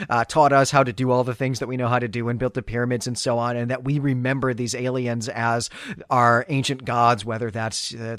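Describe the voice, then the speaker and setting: A man co-hosting a podcast who muses over a story in a halting way, with many fillers and light laughs. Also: mid-range voice, fairly bright tone, dry recording, clean studio sound. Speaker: podcast co-host. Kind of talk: casual discussion.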